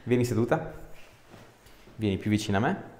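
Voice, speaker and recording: A man's voice: fairly low pitch, expressive, moderate pace, darkish tone. A man, working as a chiropractor, giving short repeated coaxing instructions and chatting casually with joking asides. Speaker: chiropractor treating a patient. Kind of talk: hands-on instructions and casual chat with patient. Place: small indoor treatment room. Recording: echoey small room, faint background noise.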